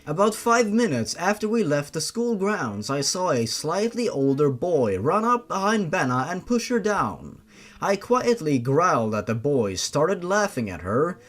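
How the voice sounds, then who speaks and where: Expressive man narrating, higher-pitched voice. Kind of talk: scripted first-person story narration.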